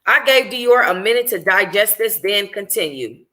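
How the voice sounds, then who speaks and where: A woman reading a first-person novel aloud in an expressive, informal way. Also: mid-range voice, normal speed. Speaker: audiobook reader, book club host.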